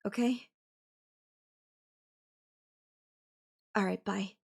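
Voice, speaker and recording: A woman playing a clingy, possessive girlfriend who feigns sweet innocence in an audio roleplay, speaking coyly and hesitantly with hums and pauses. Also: medium pitch, fairly even intonation, gravelly, husky. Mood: hurt, withdrawn. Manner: hesitant, drawn-out, coy delivery with hums and pauses. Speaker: voice actor. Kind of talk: girlfriend audio roleplay, one side of a call.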